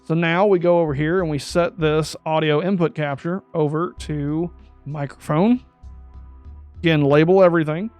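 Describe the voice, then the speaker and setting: High-voiced man walking through settings. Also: fairly even intonation, moderate pace, a little mellow, no room sound, faint music behind. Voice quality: describes his own voice as high-pitched. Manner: talks through steps aloud, corrects himself mid-sentence. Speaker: streamer explaining audio setup. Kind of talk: step-by-step tutorial walkthrough. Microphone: USB desk microphone.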